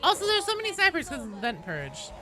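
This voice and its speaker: A woman's voice: sits high, huge pitch swings, fast talker, noisy background. A woman livestreaming a game, chatting casually with viewers in an irreverent, sweary, talkative manner. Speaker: game streamer and host.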